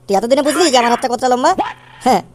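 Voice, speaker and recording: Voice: medium pitch, rapid-fire, a crowd in the background, natural voice. A performer voicing a scornful, threatening father in a comic cartoon, sarcastic and hectoring. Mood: boastful warning. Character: scornful, threatening father scolding his little son.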